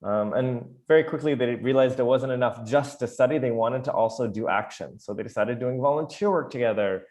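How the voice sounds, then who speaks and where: A man's voice: medium pitch, brisk, few pauses, a little mellow. Chatty American man from Chicago. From United States. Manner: chatty, many fillers, light self-deprecating humor. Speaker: guest speaker, educator. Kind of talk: casual talk over a video call. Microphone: video call.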